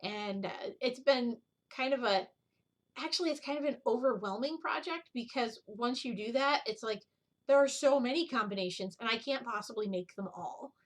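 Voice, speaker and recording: A woman's voice: a little high, normal speed. A middle-aged woman chatting casually as the host of a needlework video, rambling and drifting off on tangents. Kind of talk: casual chat, show-and-tell vlog.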